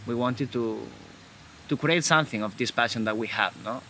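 A man from Galicia in Spain with a Spanish accent, talking in a casual conversation in halting English and often restarting his sentences. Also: mid-range voice, bright, forceful tone, a little hiss or hum. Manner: halting, searching for words, frequent restarts.

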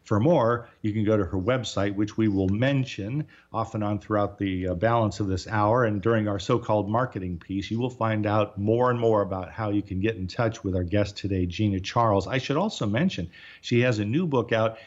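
Brief: talk radio host, man, lowish voice, formal and measured, dull lo-fi sound. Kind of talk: radio interview.

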